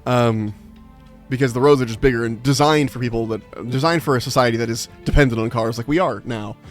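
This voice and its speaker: A man's voice: medium pitch, a little fast, soft background music. Chatty man streaming. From Inland Empire, California, USA. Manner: chatty, laughs often, reads chat aloud. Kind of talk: casual live-stream chat.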